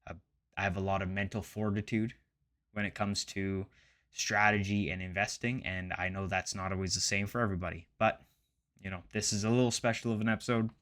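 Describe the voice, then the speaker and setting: A man in his late twenties with a fairly low voice chats casually to his viewers as a finance vlogger. His delivery is loose and rambling, and he often stops to rephrase himself. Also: flat delivery, fairly quick, a bit bright. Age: late 20s. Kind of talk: casual chat to camera about investing.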